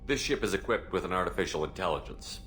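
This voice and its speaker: An actor playing a composed starship commander in a video game, direct and controlled. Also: male voice, deep voice, expressive, fast, a bit bright, faint music behind. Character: composed male starship commander questioning his crew. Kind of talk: video game character acting.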